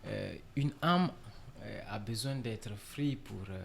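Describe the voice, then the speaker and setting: A man from Niger, a musician guest in a casual interview, relaxed and filler-heavy, dropping in English words. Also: lowish voice, slow, creaky, slight background noise.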